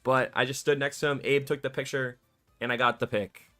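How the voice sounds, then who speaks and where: A male streamer chatting casually and excitably on a live stream, his voice a bit scratchy from cheering, in a warm home room. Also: medium pitch, brisk, faint music behind. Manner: excitable, slangy, rambling.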